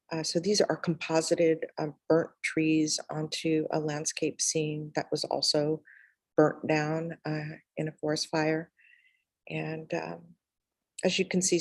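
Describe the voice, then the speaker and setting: A middle-aged woman giving a lecture about her own work over a video call in a monotone, tentative way, with pauses. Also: deep voice, creaky, dry recording. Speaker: guest artist, photographer. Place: indoor room on a video call. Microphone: video call microphone.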